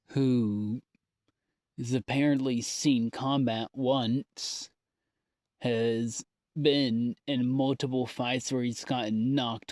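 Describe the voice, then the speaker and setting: Slow-talking man, halting and downbeat. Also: medium pitch, a little mellow, dry recording, studio quality. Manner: halting, with long pauses. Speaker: audio diarist. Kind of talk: rambling, confessional audio log.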